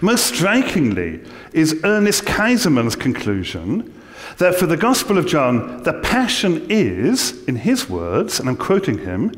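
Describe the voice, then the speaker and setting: A middle-aged man with an English accent giving a measured, scholarly theology lecture from a church lectern. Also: on the high side, wildly swooping pitch. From England. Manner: measured, scholarly, dry humor. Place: large church, indoors. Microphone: lectern mic. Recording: long church reverb.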